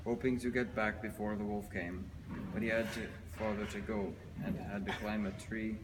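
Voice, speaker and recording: Low-voiced man reading a fairy tale, echoey. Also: level tone, normal speed. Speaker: storyteller. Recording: noisy, reverberant hall recording.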